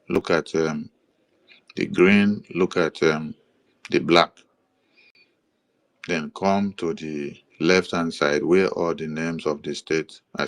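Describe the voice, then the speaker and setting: A man with a Nigerian accent giving an explanatory monologue, listing items in a steady, repetitive rhythm with occasional hesitations. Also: deep voice. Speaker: commentator presenting a map.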